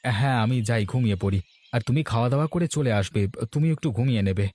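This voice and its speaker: A man's voice: mid-range voice, fast, darkish tone, lightly acted. A man voicing a sensible, weary working husband in a cartoon story, plain-spoken and homely in his talk. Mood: matter-of-fact. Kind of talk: Bengali cartoon story dialogue.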